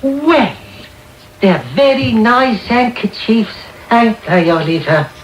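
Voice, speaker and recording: A man's voice: very high-pitched, expressive, slow pace, highs cut off, full character voice. An actor in a radio drama playing a sly, wheedling old man who leads young pickpockets, with coaxing, theatrical delivery. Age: older. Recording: roomy, noisy medium-wave radio tape.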